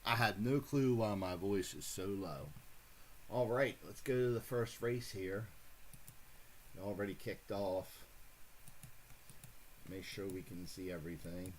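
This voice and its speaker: A man with a lowish voice calls horse races on a live stream. He talks slowly and rambles, stopping to correct himself. There is a faint hiss behind him. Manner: rambling, self-correcting, excited at finishes. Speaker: host calling horse races for a fantasy contest. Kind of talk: casual live-stream race commentary.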